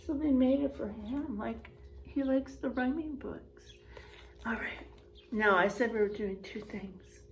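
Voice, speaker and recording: A woman teacher reading aloud and chatting to young children in an expressive, encouraging, teacherly way. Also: on the high side, unhurried, a little hiss or hum. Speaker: first grade teacher. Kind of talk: picture book read-aloud and chat to young pupils.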